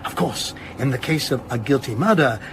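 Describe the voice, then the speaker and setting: An actor playing a precise, dryly pedantic academic language analyst in a radio drama, speaking in a measured, lecturing way. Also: male voice, mid-range voice, expressive, background noise, natural voice. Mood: dryly explanatory.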